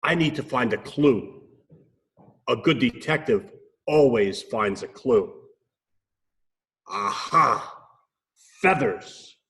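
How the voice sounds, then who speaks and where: A man voicing an earnest, excitable owl detective in a children's storybook, dramatic and self-important. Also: medium pitch, slow pace, pauses often. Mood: earnest, determined.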